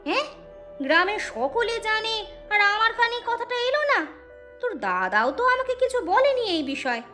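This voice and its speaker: A woman plays a sharp-tongued village housewife from a cartoon folk tale. Her voice sits very high and rings out brightly. She is curious and scheming, and she slips easily from teasing into chiding. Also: big pitch swings, moderate pace, faint music behind, heavily acted. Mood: curious, grumbling.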